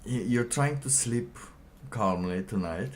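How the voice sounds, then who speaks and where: A man with a low-pitched voice hosts a casual livestream, reading aloud and adding his own comments. He talks slowly and haltingly, rambling with pauses mid-sentence. Faint noise sits behind the voice. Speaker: livestream host.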